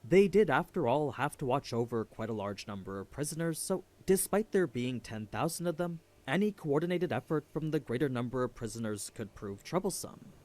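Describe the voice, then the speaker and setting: A man's voice: medium pitch, expressive, fairly quick, few pauses, a little mellow. A man giving a scripted story recap as a video narrator.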